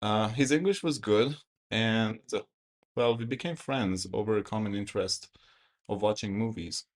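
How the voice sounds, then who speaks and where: Reflective man in his twenties, low voice. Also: moderate pace. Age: 20s. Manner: reflective, storytelling. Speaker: podcast guest. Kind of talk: casual conversation.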